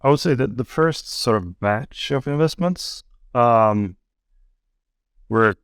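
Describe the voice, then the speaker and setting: A man, telling his story as a podcast guest in a relaxed, wry way. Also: mid-range voice, slow pace, clear, resonant tone, no room sound, studio quality. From Sweden. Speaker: guest, angel investor. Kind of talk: casual podcast interview.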